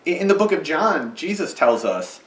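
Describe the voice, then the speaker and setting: A man leads a children's sermon, his voice rising and falling in a very lively, sing-song way as he patiently explains things to kids. He sounds set back from the mic, and the church room adds a strong echo. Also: a little high, normal speed, far from the mic. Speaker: children's message leader. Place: church sanctuary.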